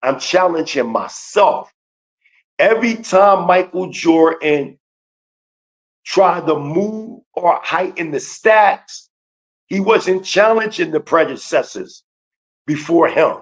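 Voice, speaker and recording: Man, slightly high voice, emphatic preaching-style lecturer on a livestream. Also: lively intonation. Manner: emphatic, slow, repeats key phrases. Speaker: guest teacher.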